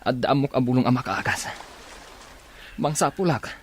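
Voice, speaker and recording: A man acts out a shipwrecked man in an Ilocano radio drama. His mid-pitched voice is confused yet helpful, halting and gasping as he talks to himself. There is faint noise behind him. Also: close to an everyday voice. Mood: urgent concern. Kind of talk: Filipino radio drama acting.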